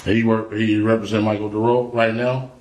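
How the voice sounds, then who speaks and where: A man's voice: on the low side, flat delivery, normal speed, a little mellow. A man being questioned by police, talking in candid, insistent street slang with frequent swearing. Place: small indoor police interview room. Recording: echoey interrogation room audio.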